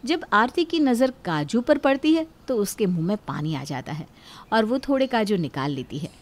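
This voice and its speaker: A woman's voice: mid-range voice, big pitch swings, moderate pace. A woman narrator telling a cartoon story in scripted storytelling style.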